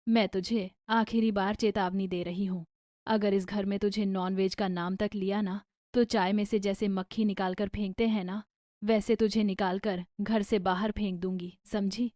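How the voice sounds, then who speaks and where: A woman voicing an emotional, sharp-tongued woman of the household in an animated family drama, expressive and complaining. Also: mid-range voice, moderate pace, no room sound, clean studio sound, close to an everyday voice. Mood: angry scolding.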